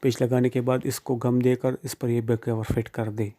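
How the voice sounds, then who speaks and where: A man's voice: mid-range voice, level tone, moderate pace, mellow. A man giving plain, informal step-by-step how-to instructions, speaking directly to the viewer. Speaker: phone repair tutorial presenter.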